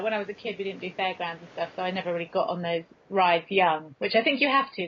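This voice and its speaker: An English woman chatting casually and frankly as an interview guest, self-deprecating in the way she talks. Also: medium pitch. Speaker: guest author being interviewed. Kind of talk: casual chat. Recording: dull, lo-fi early podcast recording.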